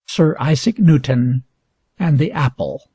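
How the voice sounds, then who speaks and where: A man reading a scripted educational story aloud for English learners with deliberate, emphatic phrasing. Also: on the high side, animated, dark tone, breathy edge, no echo. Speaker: reader.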